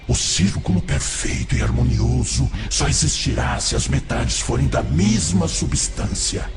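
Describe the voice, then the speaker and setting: Man acting as a creaky, seductive predatory spirit. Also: medium pitch. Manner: insinuating, rhetorical persuasion. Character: seductive predatory male obsessor spirit, sly and persuasive. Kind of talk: dramatic audio drama acting. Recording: loud music under the voice.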